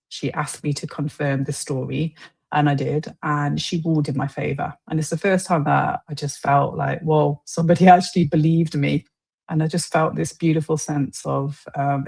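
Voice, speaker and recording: A woman's voice: low voice, vocal fry, breathy edge. A woman guest telling her personal story in conversation, candid and informal. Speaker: podcast guest.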